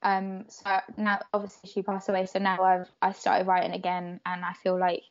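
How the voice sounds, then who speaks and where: A young woman, a native Croatian speaker, talks in a relaxed, hesitant way with plenty of fillers and keeps breaking into giggles. Her voice sits at an ordinary middle pitch for a woman. The recording is dull and lo-fi. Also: normal speed. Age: young adult. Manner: giggly, laughs often between hesitant, filler-heavy phrases. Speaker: podcast guest, wrestler. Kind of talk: casual interview chat. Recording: dull lo-fi podcast audio.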